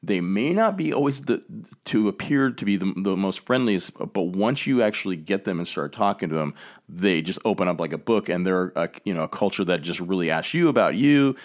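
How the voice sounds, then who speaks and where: A very deep-voiced American man hosts a relaxed travel conversation. He rambles a little, circling back with fillers and restarts. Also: brisk. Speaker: podcast host. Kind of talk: casual travel chat.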